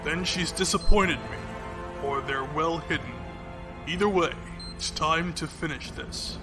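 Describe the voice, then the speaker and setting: A man playing a composed, disdainful fleet commodore in an audio drama, with a measured, formal, commanding delivery. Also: fairly high pitch, over music, natural voice.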